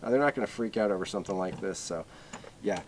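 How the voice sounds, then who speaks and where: Man, lowish pitch, casual product reviewer, unscripted, halting conversational delivery, filler-heavy, light background noise. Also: expressive.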